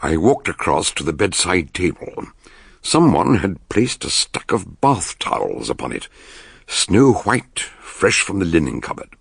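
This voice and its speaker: Gravelly, deep-voiced eccentric detective doctor. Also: male voice, sing-song, a little slow, exaggerated voice. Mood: grave narration. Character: eccentric, shrewd male detective doctor, narrating his case. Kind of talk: radio drama acting and first-person narration.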